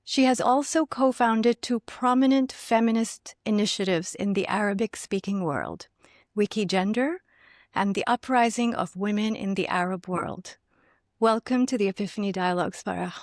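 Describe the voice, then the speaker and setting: A woman's voice: medium pitch, moderate pace, no room sound, studio quality. A woman hosting a podcast interview, gracious and effusive in welcoming her guest over a video call. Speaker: podcast host.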